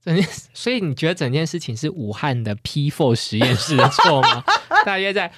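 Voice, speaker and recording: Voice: mid-range voice, lively intonation, fairly quick, a bit bright, no echo, clean studio sound. 一位帶台灣腔國語的播客主持人，用輕鬆直率、帶點打趣的口吻閒聊並給意見。. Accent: Taiwanese Mandarin. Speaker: podcast co-host. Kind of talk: casual, opinionated chat.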